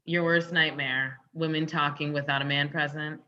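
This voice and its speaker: A woman acts out a sarcastic, argumentative young woman in a comedy read. Her voice is lowish and sharp, with pointed timing, on a slightly dull recording. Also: fairly even intonation, normal speed. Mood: combative, sardonic. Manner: sardonic, pointed. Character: sarcastic, combative younger woman sparring with father figure. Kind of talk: comedy script table read.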